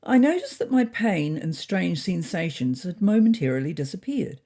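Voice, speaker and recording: A woman's voice: fairly low pitch, very animated, moderate pace, warm tone. A woman narrating a scripted first-person story in a wry, understated manner.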